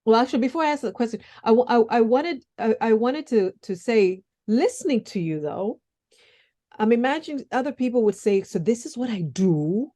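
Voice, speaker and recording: A woman's voice: a little high, animated, moderate pace, dark tone. A woman hosting a podcast, chatting enthusiastically with her guest and often restarting her sentences. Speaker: podcast host. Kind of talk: interview podcast chat.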